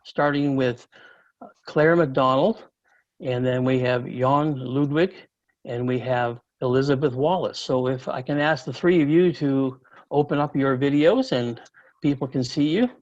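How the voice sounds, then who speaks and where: A man's voice: mid-range voice, measured pace, a little mellow. A man hosting a virtual meeting, introducing speakers politely over a video call. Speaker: meeting host. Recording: dull lo-fi call audio.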